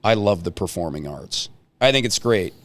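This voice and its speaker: A man hosting a casual chat with high school seniors, asking questions in an informal, teasing, slangy way. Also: expressive, normal speed, fairly bright tone.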